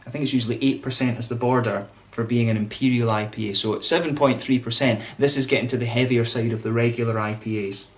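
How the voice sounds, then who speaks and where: A man's voice: mid-range voice, fairly even intonation, fast talker, hardly any pauses. A man, chatting casually to camera as he reviews a beer, with lots of fillers and restarts. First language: English.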